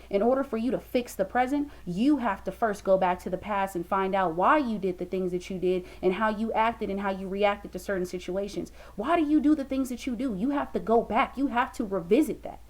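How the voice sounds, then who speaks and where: A woman's voice: mid-range voice, low-quality recording. Woman, 20s, motivational vlogger; emphatic, repetitive preaching into a laptop cam at home. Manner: emphatic, preachy, repeats for stress. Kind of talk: motivational talk to camera. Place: small room indoors.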